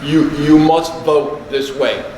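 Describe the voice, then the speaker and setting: A man speaks earnestly on a panel. His voice sits a little high. A roomy echo and a faint hiss fill the hall. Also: slow pace, darkish tone. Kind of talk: panel discussion. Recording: echoey hall.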